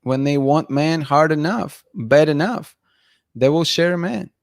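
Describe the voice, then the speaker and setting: A man in his thirties hosting a night-time livestream, bluntly giving his opinions and reading chat comments aloud, his voice going hoarse. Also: fairly high pitch, measured pace, no room sound, clean studio sound. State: losing his voice, clearing throat. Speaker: livestream host. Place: dim room at night, indoors. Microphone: studio mic in front of him.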